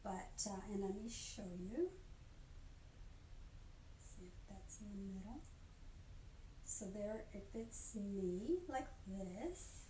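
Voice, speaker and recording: An older woman giving an informal craft demonstration in a chatty, halting way, often stopping to rephrase. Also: mid-range voice, expressive, mellow. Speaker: craft instructor. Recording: roomy echo, faint background noise.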